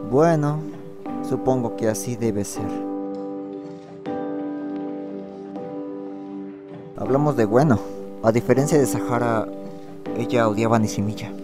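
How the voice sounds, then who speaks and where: A man's voice: mid-range voice, normal speed, natural voice. Man playing a pensive teenage boy, music behind. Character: reflective, awkward teenage schoolboy protagonist. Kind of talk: amateur manga fandub narration and dialogue.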